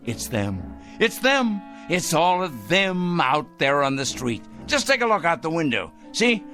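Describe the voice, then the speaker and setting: An actor playing a fanatical, self-righteous, paranoid man, ranting excitably in theatrical radio drama style. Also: fairly high pitch, unhurried, faint music behind, exaggerated voice. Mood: agitated, indignant. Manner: ranting, excitable, muttering and crooning to a pet. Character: fanatical, paranoid, self-righteous male crank obsessed with punishing evildoers.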